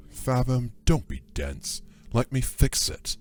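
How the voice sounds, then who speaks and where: A man's voice: mid-range voice, sing-song, very slow, soft background music. A man playing a murderous, charismatic male dragon in an audio drama, with a theatrical, mocking, condescending manner. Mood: exasperated. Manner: theatrical, condescending, sighing drawl. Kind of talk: fan audio drama acting.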